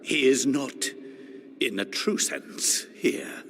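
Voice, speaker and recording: An actor playing a condescending old sorcerer in a video game, with a gravelly voice and a slow, portentous, cryptic delivery. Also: male voice, medium pitch, lively intonation, background noise. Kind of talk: dramatic video game acting.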